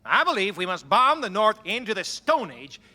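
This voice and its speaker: An actor playing a hawkish major in a radio drama, making an emphatic speech with a forceful voice. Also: male voice, sits high, very animated, moderate pace, heavily acted. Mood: hawkish, insistent. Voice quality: sharp, forceful. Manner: emphatic, oratorical.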